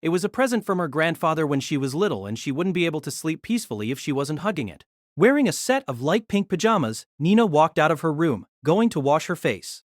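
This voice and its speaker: Animated male narrator, dry close sound. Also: a little high, a little fast, no echo. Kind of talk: scripted novel narration.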